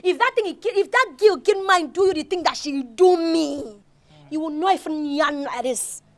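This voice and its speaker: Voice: high voice, animated, moderate pace. An actor playing an aggrieved young hothead with a Nigerian accent, indignant and tripping over repeated words. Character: aggrieved hot-headed young schemer, ranting about revenge. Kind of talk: acted Nigerian Pidgin comedy dialogue.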